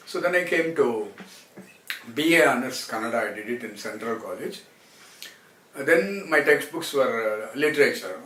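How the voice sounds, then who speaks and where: A man's voice: medium pitch, fairly bright tone. South Indian man, roomy distant recording. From Karnataka, South India. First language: Telugu. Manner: halting, repeats words and phrases. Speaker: translator and former film actor. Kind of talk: rambling autobiographical talk to viewers. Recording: roomy, distant mic, faint background noise.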